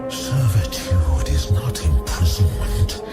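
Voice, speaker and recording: Towering demon overlord, cold menace, deep voice, commanding swells, loud music behind, fantasy audio drama. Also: male voice, animated. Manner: slow, grand, commanding. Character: huge domineering demon lord, cold and menacing. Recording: loud music bed over voice.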